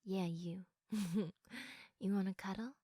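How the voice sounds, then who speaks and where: Sleepy, affectionate girlfriend played by a woman for bedtime ASMR roleplay; soft, giggly, reassuring, very unhurried delivery, dry intimate sound. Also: mid-range voice, steady tone, breathy edge, no echo. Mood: drowsy, affectionate. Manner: soft, unhurried, often giggly, dropping into whispers. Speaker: audio roleplay performer.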